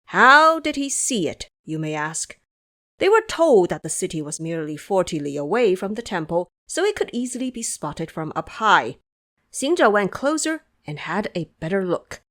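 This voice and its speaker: A woman narrating an audio drama as a scripted story, with expressive, dramatic delivery. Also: mid-range voice, normal speed.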